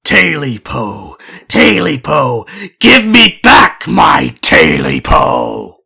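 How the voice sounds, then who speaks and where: A man voicing a short stubby clawed creature, chanting slowly in a drawn-out wail that swings up into shouts. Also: medium pitch, huge pitch swings, gravelly, breathy edge, exaggerated voice. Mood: eerie wailing. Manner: slow drawn-out wailing chant, swooping to shouts.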